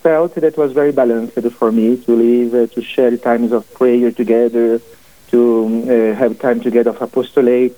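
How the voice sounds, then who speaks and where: A man in his forties with a Portuguese accent, a priest guest speaking haltingly, with many fillers and restarts, on a radio interview. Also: mid-range voice, steady tone. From family from Portugal. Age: 40s. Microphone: phone call into studio. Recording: phone line.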